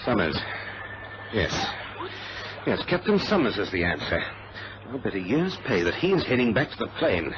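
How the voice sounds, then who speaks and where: Suspicious determined airline man, old radio adventure, really lively pitch, emphatic, thinks out loud, muffled recording. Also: moderate pace, pauses often, noisy background. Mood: excited deduction.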